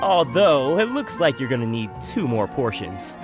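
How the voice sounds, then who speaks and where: A man's voice: mid-range voice, sing-song, measured pace, music in the background. A man playing a friendly, teasing, chatty food vendor in a video game, with a hearty manner. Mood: delighted welcome. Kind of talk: video game character acting.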